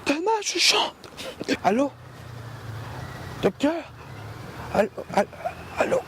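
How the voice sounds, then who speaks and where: A woman's voice: sits high, big pitch swings, takes it slowly, background noise, full character voice. Woman playing a voiceless singer, whispering. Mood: panicked. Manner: whispery, voice cutting out. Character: voiceless singer calling her doctor in panic.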